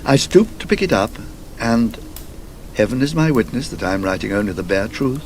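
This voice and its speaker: Man acting a haunted English gentleman, dramatically inflected. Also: medium pitch, animated, slight background noise, close to an everyday voice. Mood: shaken, fearful. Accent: British Received Pronunciation. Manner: halting, emphatic, fearful confession. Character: haunted English gentleman traveller recounting his terror.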